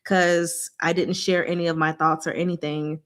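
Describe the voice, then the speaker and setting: A woman hosting a casual book discussion, retelling scenes in a giggly, animated, slangy way and acting out the dialogue. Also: on the low side, moderate pace.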